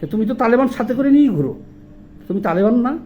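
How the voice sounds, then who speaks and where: Male political commentator, Bangladeshi accent, high-pitched, indignant and emphatic, faint music. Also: big pitch swings, fast, dull audio. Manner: indignant, emphatic, rhetorical questioning. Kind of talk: impassioned political monologue.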